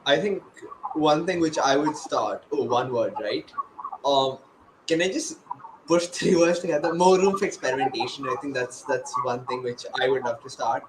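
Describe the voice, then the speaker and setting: Young male panelist, mid-pitched, casual and conversational, heavy noise and roomy echo. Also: normal speed. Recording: echoey, very noisy online call.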